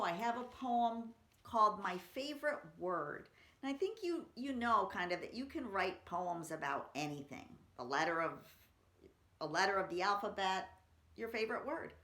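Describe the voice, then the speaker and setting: A woman's voice: medium pitch, big pitch swings, a little slow, fairly bright tone. A woman reading poetry aloud for children and chatting between poems, explaining simply and reading expressively. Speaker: library story-time reader. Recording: roomy, echoey room sound.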